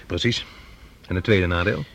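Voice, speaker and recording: Low-voiced easygoing academic. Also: male voice, moderate pace, gravelly, fairly bright tone, full character voice. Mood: agreeable. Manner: conversational, inquisitive. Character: amiable, easygoing male academic delegate. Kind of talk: Dutch radio play dialogue.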